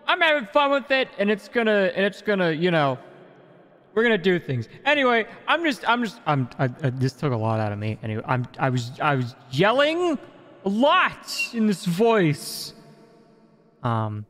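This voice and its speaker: A man's voice: high voice, huge pitch swings, moderate pace, a bit bright, dry recording. A man wrapping up a tabletop game stream as game master, chatty, laughing often and stumbling over repeated words.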